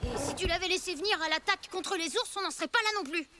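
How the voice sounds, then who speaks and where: A woman's voice: extremely high pitch, quick pace, heavy background noise. A woman with a French accent playing an earnest, plucky young cave boy in a cartoon, a little hesitant. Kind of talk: animated cartoon acting. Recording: music behind the voice.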